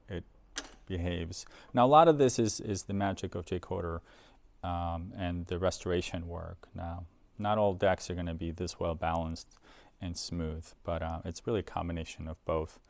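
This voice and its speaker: A man's voice: deep voice, a little mellow. A man giving a casual, explanatory product demonstration in an informal way, as a presenter for an equipment seller.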